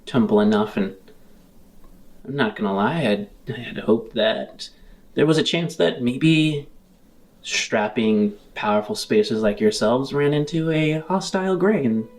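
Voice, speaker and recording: A man voicing a stern, inquisitive woman of authority in a tabletop game, with measured, probing questions. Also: mid-range voice, close to an everyday voice. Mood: disappointed.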